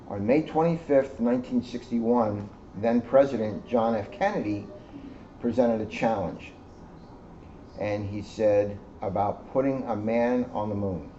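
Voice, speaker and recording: A middle-aged American man giving an informal educational talk in a deliberate, emphatic way, phrase by phrase. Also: on the low side, mellow. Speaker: police officer reading to children. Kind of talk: informal educational talk and picture-book reading. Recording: muffled audio with clear background noise.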